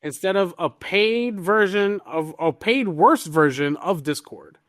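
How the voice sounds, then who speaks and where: A man's voice: high-pitched, animated, unhurried, a bit bright, no room sound, clean studio sound. A man hosting a podcast, casually discussing the news with exasperated, opinionated commentary. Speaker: podcast host.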